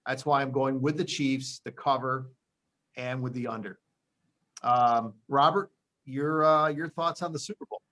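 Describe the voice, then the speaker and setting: A man's voice: medium pitch, steady tone, measured pace, pushed and bright. A man hosting a sports preview show, giving his commentary in a conversational way. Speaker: sports show host and pundit.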